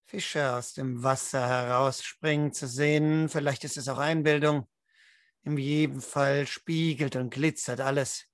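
A man's voice: a little high, a bit bright, no room sound, studio quality. A man leading a tabletop role-playing game as game master, explaining and narrating. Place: indoor room at home, online video call.

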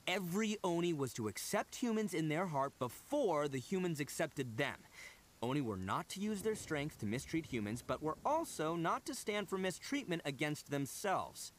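An actor voicing a brash, proud, earnest young oni gang boss in an anime game, telling things colloquially with dramatic emphasis. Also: male voice, a little high, very animated, normal speed, slight background noise. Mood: proud, solemn. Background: game music.

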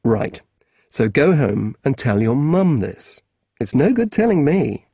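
A man's voice: medium pitch, very animated, measured pace, gravelly, warm tone, slightly rough, no echo, clean studio sound, full character voice. A male narrator voicing a weary, blunt adult man who is impatient with a boy, speaking with exasperation. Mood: exasperated.

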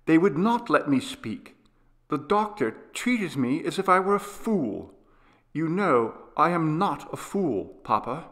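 A man plays a sick young boy in a storybook, pitched up from an adult register. He is eager, urgent and insistent, with slow, swooping delivery as he pleads to be believed. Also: big pitch swings, a bit bright. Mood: eager, agitated. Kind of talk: dramatised audiobook character reading.

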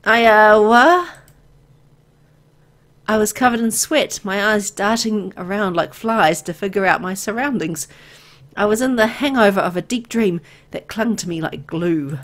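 A woman voicing an anxious, disoriented amnesiac young woman who narrates a horror visual novel in a dramatic, deliberate way. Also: mid-range voice, natural voice. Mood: confused.

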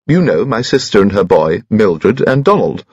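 A man voicing a jolly, small, round elderly aristocrat with an extraordinarily high-pitched voice and old-fashioned colloquial phrasing. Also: big pitch swings, normal speed, darkish tone, slightly rough, dry recording, lo-fi sound. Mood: chirpy.

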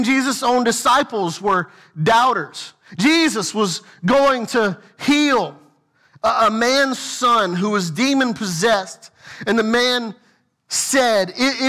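A middle-aged man preaching a sermon as senior pastor, moving between quiet, low asides and raised, emphatic lines as he walks a church stage. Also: expressive, slow. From United States. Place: large church sanctuary with stage.

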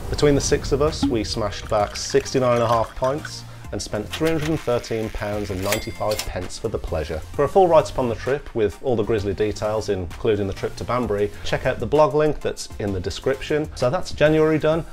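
A man's voice: medium pitch, animated, a little fast, few pauses, a little mellow, soft background music. A man, hosting a beer travel vlog in a chatty, wry way and swearing casually, talking to a camera in a small room.

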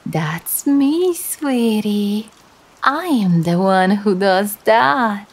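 A woman's voice: mid-range voice, animated, husky, exaggerated voice. A woman playing a seductive demon who feeds on embarrassment, speaking in a teasing, theatrical way in ASMR roleplay style. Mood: smug, teasing. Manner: teasing, theatrical, slow and drawn-out.

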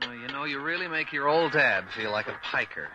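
A wry, teasing dad, played by a man in a radio drama, mid-pitched with very animated intonation. He speaks deliberately and mock-pompously, with music behind him. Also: natural voice, low-quality recording. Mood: teasing, softening. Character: wry, teasing, unhurried dad of a young girl.

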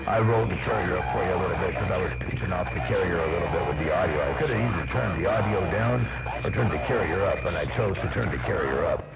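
A man's voice: fairly low pitch, background noise. A man chatting casually in folksy, repetitive slang as an operator over CB radio. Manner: folksy, repeats himself. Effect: CB radio transmission. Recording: muffled CB radio with loud humming interference.

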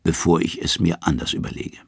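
A man's voice: sits low, a little fast, vocal fry, husky, dry recording, studio quality, exaggerated voice. A man voicing a haughty, malicious female ruler of the underworld in an audio storybook, imperious and gloating. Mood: malicious, commanding.

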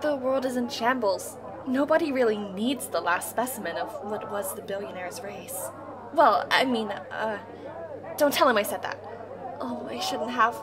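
A woman playing a nervous, flustered young survivor in a sci-fi audio drama, hesitant and excitable. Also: a little high, normal speed, lightly acted. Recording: music and crowd ambience behind.

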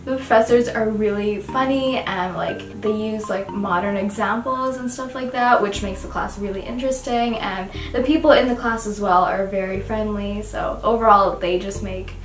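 A woman's voice: medium pitch, normal speed, hardly any pauses. A young adult woman from the United States and Qatar, chatting casually as a guest. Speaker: guest roommate, university student. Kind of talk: casual chat. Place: small dorm room, indoors. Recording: roomy, distant mic, music behind.

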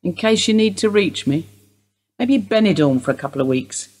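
Radio play role: weary, dry-spoken mother in mourning, calm and husky played by an actress. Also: medium pitch, normal speed. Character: weary, composed bereaved English mother under police questioning. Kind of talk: naturalistic radio drama acting.

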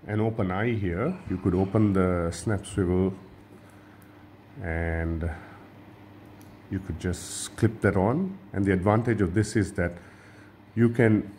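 A man from Sri Lanka, a fishing channel host, giving a relaxed hands-on tutorial at a table and often repeating and restarting his words. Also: on the low side, slight background noise.

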